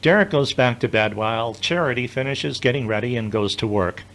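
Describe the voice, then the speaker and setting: A man's voice: mid-range voice, fairly quick, a bit bright. A man reading scripted scene narration for an audio drama.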